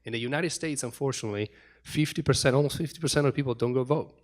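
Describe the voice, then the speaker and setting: A man's voice: medium pitch, fast. A middle-aged man giving a conference talk in a room, hurrying through his points with frequent restarts and repeated words. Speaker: conference speaker.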